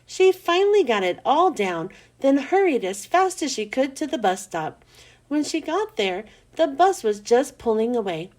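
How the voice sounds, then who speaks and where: High, animated woman reading stories. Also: normal speed. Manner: animated, emphatic delivery. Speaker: children's read-aloud host. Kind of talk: expressive storybook read-aloud.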